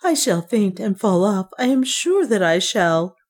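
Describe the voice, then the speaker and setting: A woman's voice: high-pitched, measured pace, mellow, pitched above the performer's own voice. A woman voicing an anguished, tearful young woman in an audiobook adventure story, wailing and gasping her lines. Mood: panicked. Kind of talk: dramatised audiobook dialogue.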